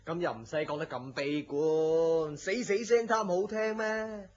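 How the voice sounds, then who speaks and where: A man's voice: a little high, a little slow, lightly acted. A man with a Hong Kong Cantonese accent playing a blunt, teasing friend who fusses and scolds in a radio drama. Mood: fussing, reassuring. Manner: exasperated, scolding, animated sighing delivery. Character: blunt, teasing, fussing male friend and co-worker. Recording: dull lo-fi radio drama audio.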